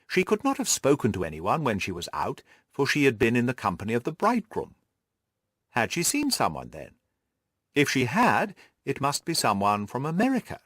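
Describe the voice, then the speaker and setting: Audiobook gentleman detective, played by a male reader: assured, methodical, very animated pitch movement, close dry sound. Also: dry recording. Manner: precise, methodical, didactic explaining. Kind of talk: audiobook character dialogue.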